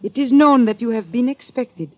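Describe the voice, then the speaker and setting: An actress playing an elegant, mysterious madame in a mid-century radio drama, with formal, precise, commanding speech. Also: female voice, on the high side, moderate pace, close to an everyday voice. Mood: cold menace. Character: imperious, elegant mysterious dragon-lady madame. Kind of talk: 1940s-50s radio drama acting. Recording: dull, muffled old radio recording.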